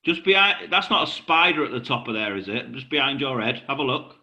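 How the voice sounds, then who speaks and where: A man's voice: medium pitch, animated, fairly quick, pushed and bright. A man chatting informally and matily as an interviewer. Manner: matey, informal.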